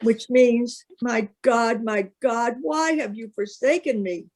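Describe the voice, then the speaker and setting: A woman's voice: a little high, slow pace, darkish tone. A woman reading a gospel passage aloud as scripted narration. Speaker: scripture reader.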